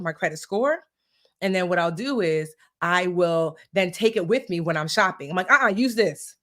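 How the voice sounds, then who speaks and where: A woman's voice: fairly low pitch, fairly bright tone. A woman in her forties hosting a live personal finance session, explaining credit in a playful, sassy way and speaking straight to her audience. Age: 40s. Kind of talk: live-stream teaching chat.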